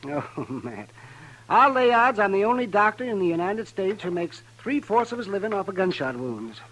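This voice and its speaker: An actor playing an aging, wry and weary frontier doctor in an old-time radio western, musing with dramatic pauses. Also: male voice, high voice, very animated, fairly bright tone, full character voice. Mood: wry, grumbling. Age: middle-aged. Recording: noisy vintage 1950s radio recording.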